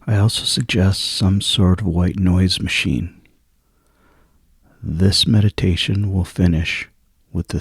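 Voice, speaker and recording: A man leading a guided sleep meditation in a soft, hushed, soothing and husky voice, with long pauses between phrases. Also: low voice, no room sound, clean studio sound.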